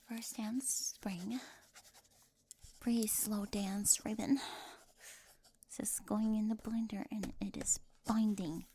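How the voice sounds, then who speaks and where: A young woman acting a bossy, easily flustered, over-organized high school girl with a crush, in an ASMR roleplay. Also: steady tone, extremely slow, pauses often, mellow, a little hiss or hum. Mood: grudging, businesslike. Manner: indignant, emphatic, easily flustered, stammering when caught.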